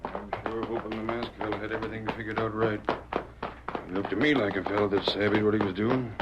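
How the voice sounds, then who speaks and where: An actor playing a gruff frontier sheriff with a folksy drawl in a 1938 radio drama. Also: male voice, lowish voice, steady tone, quick pace, over loud music, lightly acted. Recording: muffled 1938 radio broadcast, loud hiss.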